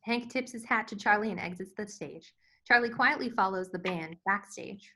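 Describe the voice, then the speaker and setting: Bright-voiced woman narrating a script. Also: medium pitch, moderate pace. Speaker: stage-direction narrator.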